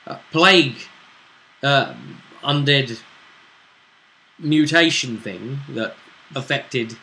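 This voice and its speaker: A British man speaking as a game master, recapping a tabletop role-playing story in a halting, conversational way. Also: medium pitch, gravelly, sharp tone, low-quality recording.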